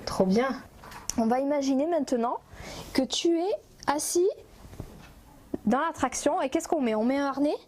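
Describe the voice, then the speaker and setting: A woman's voice: high-pitched, moderate pace, long pauses, a little mellow, a little hiss or hum. A woman caregiver guiding a child through a conversation with encouraging words and short, simple questions. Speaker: hospital caregiver.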